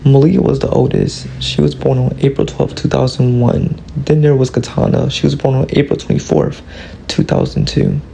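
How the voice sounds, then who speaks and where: Gravelly young man presenting. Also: medium pitch, moderate pace, slight background noise, poor audio. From Louisiana, US. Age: early 20s. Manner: steady reading of a prepared script. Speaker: student presenter. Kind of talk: class presentation.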